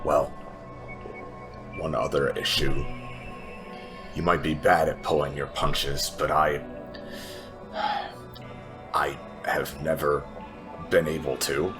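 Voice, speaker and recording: A man playing a blunt, confrontational female adventurer in a tabletop game, speaking firmly and deliberately. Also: rumbling low, level tone, over music. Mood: grim warning.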